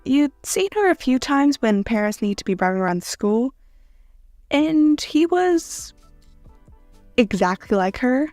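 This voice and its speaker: A woman narrating a scripted second-person story in a dramatic manner with deliberate pauses. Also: high voice, very animated, darkish tone, dry recording, faint music behind. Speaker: narrator of fan fiction audio.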